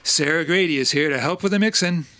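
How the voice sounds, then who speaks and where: A man hosting a show, moving between lively scripted introductions and casual interview chat. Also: on the high side, fast, noisy background. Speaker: show host.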